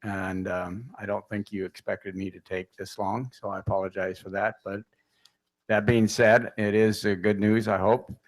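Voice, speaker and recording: Man from city staff, lowish flat voice, halting report full of ums. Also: poor audio. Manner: hesitant, many fillers. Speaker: city public works staff. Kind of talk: staff report at council meeting.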